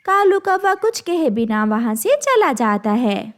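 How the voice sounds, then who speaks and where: A woman narrates a children's cartoon tale from a script. Her voice is very high, slow and full of rise and fall. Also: sing-song.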